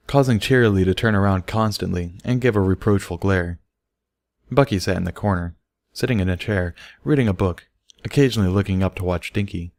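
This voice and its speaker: A man narrates a written story for an audiobook. His voice is fairly low. The recording is dry, with very little room sound. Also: a little mellow, no room sound.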